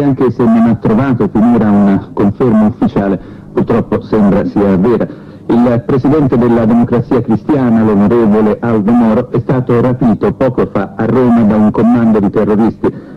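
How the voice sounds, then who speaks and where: A man delivering a grave, formal, deliberate 1970s radio news bulletin as announcer. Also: lowish voice, breathy edge. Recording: tinny band-limited 1970s radio archive.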